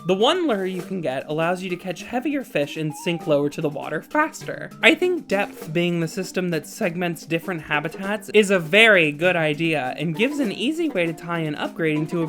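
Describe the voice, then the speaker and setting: Bright, lively woman doing video commentary. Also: fairly low pitch. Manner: opinionated, self-deprecating, playful, swears casually. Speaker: video game reviewer and narrator. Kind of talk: scripted video essay commentary with casual asides.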